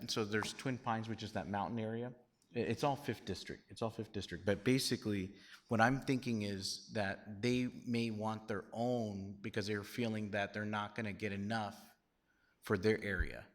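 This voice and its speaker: Low-voiced male meeting chair. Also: fairly even intonation, normal speed. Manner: easygoing, hesitant, brief affirmations.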